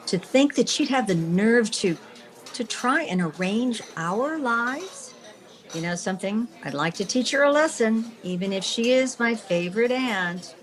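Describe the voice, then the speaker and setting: A woman playing a sharp, self-assured lady publisher from an old-time radio comedy. She takes her time over the words and swings her pitch expressively. The delivery is teasing and theatrical. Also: animated, unhurried. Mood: indignant, scheming.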